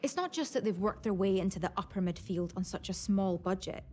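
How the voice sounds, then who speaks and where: A woman's voice: mid-range voice, expressive, fairly quick, darkish tone, slight background noise, close to an everyday voice. A woman playing an articulate, analytical motorsport pundit giving her view to an interviewer.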